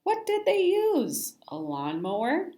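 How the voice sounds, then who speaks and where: A woman voicing mocking neighborhood children who loudly taunt someone in a children's story. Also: high voice, huge pitch swings, takes it slowly, voice pushed up, heavily acted. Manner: loud, taunting.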